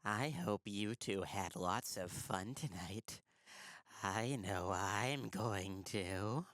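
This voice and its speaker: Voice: low-pitched, fairly bright tone, no echo, heavily acted. An actor playing a menacing, taunting killer in an audio drama, drawing words out through a noisy, clicking signal. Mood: taunting menace. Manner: slow, drawn-out, taunting. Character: menacing, taunting killer stalking a radio station. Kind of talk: audio drama villain acting.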